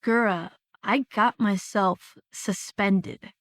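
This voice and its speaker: A woman's voice: mid-range voice, fairly even intonation, slow, a bit bright. A woman playing a skeptical, level-headed young streamer in a scripted audio drama, dry and blunt. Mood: exasperated, warning.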